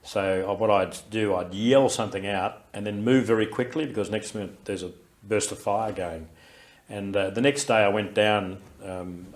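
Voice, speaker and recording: Deep-voiced older Australian man reminiscing. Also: steady tone. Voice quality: loud, carrying voice. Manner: reflective, unhurried recollection with many fillers and restarts. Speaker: interviewee, war veteran. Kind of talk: recorded oral history interview.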